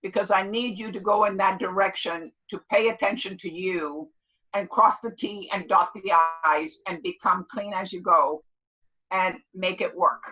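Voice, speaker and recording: Woman in her seventies, class instructor, forceful bright tone, emphatic, repetitive, motherly, dull online-call sound. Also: medium pitch, steady tone, moderate pace. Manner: emphatic, repeats herself and checks listeners understand; loud, clear talker. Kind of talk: casual motivational class talk.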